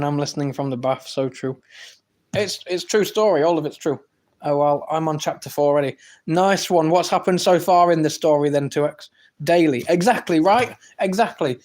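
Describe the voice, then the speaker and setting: Brash English man, slightly high voice. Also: a little mellow. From England. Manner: brash, teasing, boastful. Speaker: livestream host reading chat. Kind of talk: casual live-stream banter.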